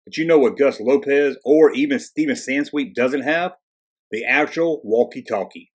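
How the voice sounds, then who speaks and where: A man with a husky, unpolished voice narrates as the host of a video channel. His delivery is casual and conversational, as if following a loose script. He drops in sarcastic, wisecracking asides as he goes. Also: medium pitch, moderate pace.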